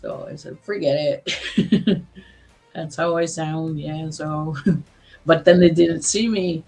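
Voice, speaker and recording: Slow husky woman, smoker's voice. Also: low-pitched, dark tone, faint music behind, low-quality recording. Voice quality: husky, says she has a smoker's voice. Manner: slow, laughing, halting. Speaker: guest. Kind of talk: casual chat.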